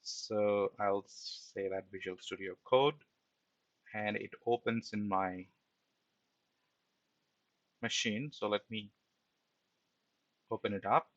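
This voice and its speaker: A man's voice: on the low side, fairly even intonation, slow pace, long pauses, no room sound, highs cut off. A man giving an informal screen-share programming tutorial as an instructor, explaining step by step and often checking in with a short tag question.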